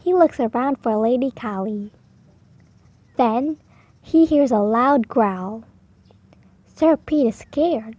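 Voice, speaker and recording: A woman reading a children's picture book aloud slowly and clearly, with expressive delivery for beginner readers. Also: a little high, no room sound. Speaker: storybook reader. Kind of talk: slow children's read-aloud.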